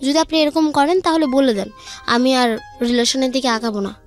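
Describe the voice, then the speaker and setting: Young female guest, high voice, Bangladeshi accent, informal personal storytelling over faint music. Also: normal speed. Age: young adult. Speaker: guest telling her life story.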